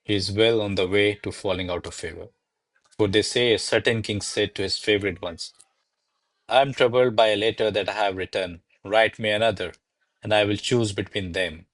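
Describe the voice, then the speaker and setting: Classical radio play: male actor as lovestruck servant-secretary, low register, declamatory, anxious soliloquist. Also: fairly even intonation, fairly quick, a bit bright, low-quality recording. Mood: cautious, storytelling. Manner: theatrical, declamatory, musing in soliloquy. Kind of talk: verse stage comedy, recited as radio play.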